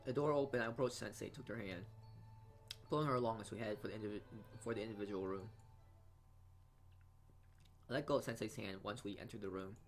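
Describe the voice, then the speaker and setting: A man's voice: on the low side, brisk, pauses often. A man reading visual novel narration aloud as a let's player, often stumbling over words. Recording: game music behind voice. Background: game music.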